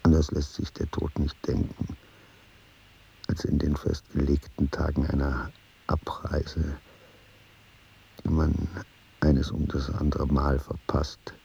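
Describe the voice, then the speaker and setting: Dying man, radio play monologue, weary, brooding, dark rasp, flat intonation, halting, breathy, slightly muffled sound. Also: a bass voice, a little hiss or hum, heavily acted. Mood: brooding, resigned. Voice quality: gravelly, husky, breathy. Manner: halting, brooding, sometimes sinking into whisper. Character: weary dying man musing on memory and death.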